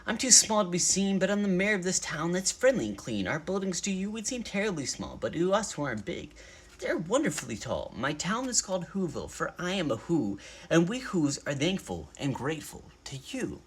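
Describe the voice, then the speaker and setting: A man's voice: sits high, big pitch swings, a little fast, breathy edge, pitched above the performer's own voice, exaggerated voice. A man voicing a tiny, courteous, grateful mayor of speck-sized people in a storybook. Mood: grateful, proud.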